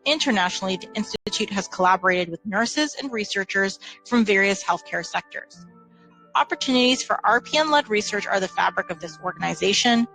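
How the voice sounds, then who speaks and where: A woman narrating a scripted award video with an even, formal read. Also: mid-range voice, brisk, low-quality recording. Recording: soft music behind.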